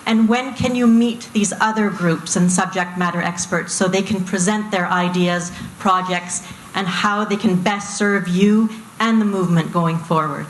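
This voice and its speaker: A woman in the audience warmly praising a panel before asking her questions. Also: mid-range voice, moderate pace. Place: conference hall audience. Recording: echoey hall, faint room noise.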